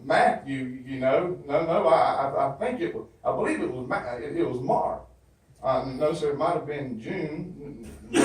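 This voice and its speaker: A man's voice: on the high side, a little hiss or hum. A man with a Southern US accent performing a folksy comedy monologue as a flustered churchgoer on the phone, stammering and repeating himself. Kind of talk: comedy monologue, one side of a phone call. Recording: very echoey hall, distant microphone.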